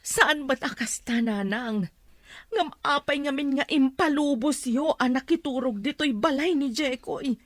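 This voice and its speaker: A woman's voice: high-pitched, measured pace, no room sound, clean studio sound. A woman playing a worried middle-aged mother who talks anxiously and pleadingly to her daughter and her own mother.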